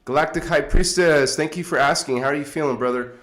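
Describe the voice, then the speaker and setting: A man's voice: fairly high pitch, fast talker, fairly bright tone, reverberant. A man hosting a casual livestream, chatty and rambling, with a stuffed-up nose, talking into a phone on a tripod. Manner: rambling, chatty, chuckles often. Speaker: livestream host.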